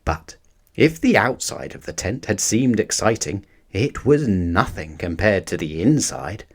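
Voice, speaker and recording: A man reading a children's picture book aloud as an expressive storyteller for young listeners. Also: fairly low pitch, unhurried.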